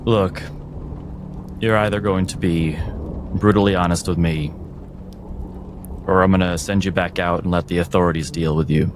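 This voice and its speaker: A man's voice: sits low, steady tone, normal speed, pauses often, background noise, lightly acted. A man voicing a suspicious, blunt bartender in a fantasy tabletop game. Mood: stern, guarded. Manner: blunt, wary. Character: suspicious, guarded male tavern bartender.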